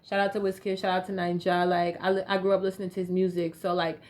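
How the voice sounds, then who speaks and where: A woman's voice: lowish voice, level tone, fast, darkish tone. A young woman from North Carolina, a guest rapper chatting casually in slang with lots of "you feel me". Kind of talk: casual interview chat.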